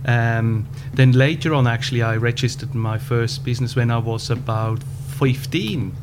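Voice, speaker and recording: A man of about fifty with a Swiss German accent, answering interview questions in a measured way with frequent pauses. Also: medium pitch. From Switzerland. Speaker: podcast guest, business owner. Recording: background hum.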